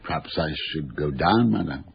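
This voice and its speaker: Creaky, obsequious older manservant. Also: male voice, a bass voice, expressive, moderate pace, exaggerated voice. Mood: eager, sly. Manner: obsequious, hesitant, discreetly sly. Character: deferential, insinuating older manservant. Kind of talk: radio drama acting. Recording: dull lo-fi radio recording.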